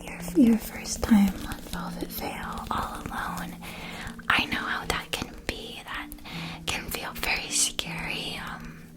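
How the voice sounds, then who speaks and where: A woman playing a gentle, reassuring witch shopkeeper in an ASMR roleplay, whispering in a soft, soothing way. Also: fairly high pitch. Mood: friendly, encouraging.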